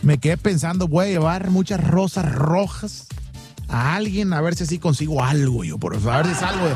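High-voiced Mexican man hosting radio over music. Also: lively intonation, normal speed, darkish tone. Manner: slangy, joking, playful. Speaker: radio show host. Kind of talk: casual comic radio banter. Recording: music bed under the voice.

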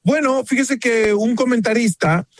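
The high-pitched Mexican man on a talk radio show who brings the latest news to his co-host like neighborhood gossip. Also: a little slow, no echo. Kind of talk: chatty conversational radio news banter.

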